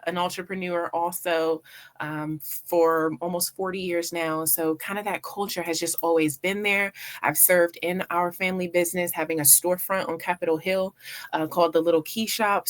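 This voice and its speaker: A middle-aged woman from Washington, D.C., answering casually as a talk-show guest in an expressive, emphatic, conversational way. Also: fairly low pitch. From Washington, D.C., United States. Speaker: interview guest, PR agency owner.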